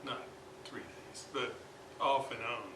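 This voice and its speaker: A man presents a hands-on repair in an informal, halting way, thinking aloud as he goes. His delivery is slow, with long gaps between phrases. The recording is amateur, with clear room echo and plenty of noise behind the voice. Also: mid-range voice, steady tone. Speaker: hobbyist radio restorer presenting his repair. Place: indoors at a repair workbench. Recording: roomy, noisy amateur workshop recording.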